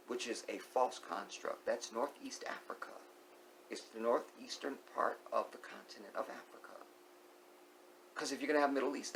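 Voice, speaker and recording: A man vlogging an opinionated monologue. He repeats key phrases, asks the viewer rhetorical questions and sometimes sinks to a hushed whisper. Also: medium pitch, creaky, a little hiss or hum, poor audio.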